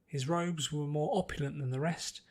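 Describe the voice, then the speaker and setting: A man's voice: on the high side, steady tone, normal speed, darkish tone. A man reading scripted story narration as an audiobook narrator.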